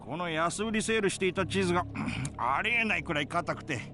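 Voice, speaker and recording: A man's voice: sits high, animated, rapid-fire, pushed and bright, soft background music, exaggerated voice. A man playing a casual, cocky young male livestreamer in a Japanese visual novel, speaking informally and with animation. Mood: apologetic, animated. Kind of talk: Japanese game voice acting.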